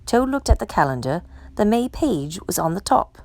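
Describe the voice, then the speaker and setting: A woman's voice: mid-range voice, very animated, moderate pace, darkish tone, no room sound. A woman narrating a children's storybook as a read-aloud for storytime. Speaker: storytime reader.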